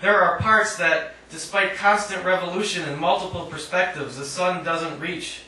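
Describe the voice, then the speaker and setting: A man giving a live poetry reading in a measured, declamatory way with dry humour, adding short spoken asides. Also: sits high, fairly even intonation, pushed and bright. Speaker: poet reading own work. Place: indoor literary cafe reading. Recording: echoey live room, distant mic, faint noise.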